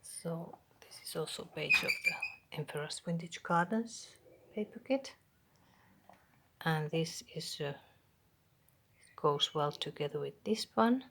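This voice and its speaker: A Finnish woman with a Finnish accent, whose first language is Finnish, quietly showing a handmade journal page by page, half-whispering with pauses and ums. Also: low voice, expressive, gravelly, background noise. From Finland. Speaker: craft channel host. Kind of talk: soft show-and-tell of a handmade journal.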